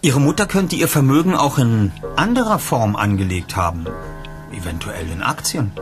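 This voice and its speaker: An actor playing a self-assured, resolute young detective leader in a German audio drama, articulate and formal. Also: male voice, mid-range voice, animated, normal speed, music in the background, close to an everyday voice. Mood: businesslike.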